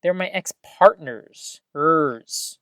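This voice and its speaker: A woman's voice: sits low, drawn-out words, clear, resonant tone, no room sound. A woman playing a stubborn, playful radio co-host in an audio drama, with a theatrical, mock-formal, quibbling manner. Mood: stubborn, teasing. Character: stubborn, playful radio host who loves old tech.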